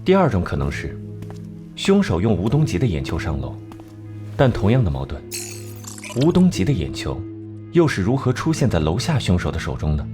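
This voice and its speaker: A cool, cerebral investigator from an animated crime series. His voice sits fairly low and moves with lively inflection as he lays out deductions in measured, analytical phrases. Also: over music. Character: cold, clever male criminal investigator reasoning through cases. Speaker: detective section chief. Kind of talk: animated crime drama dialogue.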